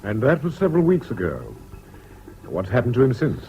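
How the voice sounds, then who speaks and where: Brisk army legal man, commanding, lively intonation, dull vintage radio sound. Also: medium pitch, creaky, soft background music. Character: brisk, authoritative English military lawyer. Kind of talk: 1970s British radio drama acting. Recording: dull, noisy old radio recording.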